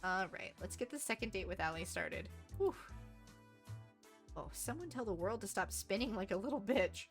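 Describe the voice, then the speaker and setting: A woman's voice: medium pitch, lively intonation, normal speed, soft background music, lightly acted. Woman playing a groggy, sarcastic hungover show producer. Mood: wry, hungover.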